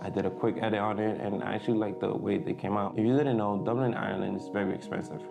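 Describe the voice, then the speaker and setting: A man's voice: lowish voice, fairly even intonation, quick pace, gravelly, over music. A young man, a native Spanish speaker from the Dominican Republic, chatting casually to camera in a vlog, hesitant with many fillers and restarts. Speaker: vlogger.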